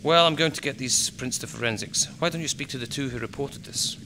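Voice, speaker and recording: A man playing a gruffly matter-of-fact, grudging crime-scene coroner in an amateur radio play. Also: mid-range voice, fairly quick, noisy background, close to an everyday voice. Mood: exasperated, grudging. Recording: music and rain under the voice.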